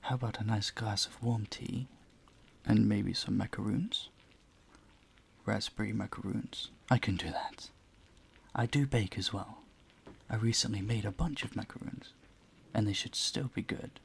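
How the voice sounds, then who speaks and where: A man playing a courteous, charming vampire in an intimate audio roleplay, soft-spoken, breathy and soothing, often close to a whisper. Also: lowish voice, fairly even intonation, measured pace, pauses often. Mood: doting, hospitable. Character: gentle, courteous male vampire, charming and doting. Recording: faint ambient noise behind the voice.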